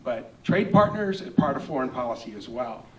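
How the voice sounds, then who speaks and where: Male candidate, businesslike, mid pitch, far-off mic in a roomy hall. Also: very animated, normal speed, slight background noise. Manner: businesslike, numbered points. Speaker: congressional candidate. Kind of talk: candidate forum answer. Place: event hall.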